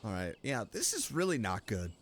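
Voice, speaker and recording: A woman's voice: very deep voice, huge pitch swings, normal speed, noisy background. A woman streamer giving casual commentary as she plays a video game. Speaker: streamer, VTuber. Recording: game music behind the voice.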